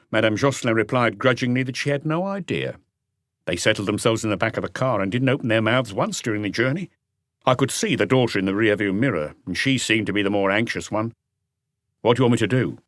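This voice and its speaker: A man reading scripted literary narration for an audiobook in a measured, restrained manner. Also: on the low side, fairly bright tone, no room sound, studio quality.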